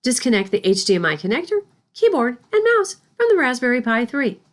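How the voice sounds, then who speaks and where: A woman narrates a scripted lesson as an instructor. Her voice sits fairly high and moves up and down in a lively way. She speaks carefully, explaining step by step. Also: brisk.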